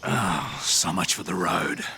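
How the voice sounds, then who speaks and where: An actor playing a gruff, impatient captain in a video game, with a blunt, weary, slangy way of talking. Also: male voice, sits low, animated, takes it slowly, noisy background. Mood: weary, annoyed. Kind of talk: video game cutscene acting.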